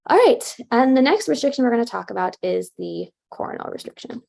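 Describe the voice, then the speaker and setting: A woman's voice: a little high, sing-song, fairly quick, gravelly, warm tone. A woman presenting research at an academic conference in a casual way, with many fillers and self-corrections.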